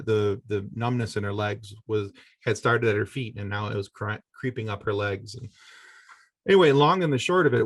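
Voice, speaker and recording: A middle-aged man with a fairly deep voice tells his story on a podcast. He speaks conversationally, pausing and rewording as he goes. The sound is dry, with very little room in it. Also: no echo. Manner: halting, conversational, self-correcting delivery. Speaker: podcast guest. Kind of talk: personal storytelling in a podcast interview.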